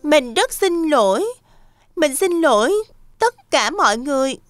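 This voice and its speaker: A little female fairy with an emotional nature. Her cartoon voice sits very high, closely recorded with music underneath. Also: lively intonation, unhurried, no echo, clean studio sound. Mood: ashamed, pleading. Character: small, emotional, jealous young female fairy. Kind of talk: dubbed children's cartoon acting.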